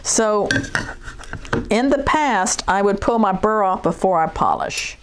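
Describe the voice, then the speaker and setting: A woman teaching shear sharpening, talking in a relaxed way and thinking aloud as she works in her workshop. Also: mid-range voice, a little slow, background noise. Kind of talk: hands-on demonstration talk.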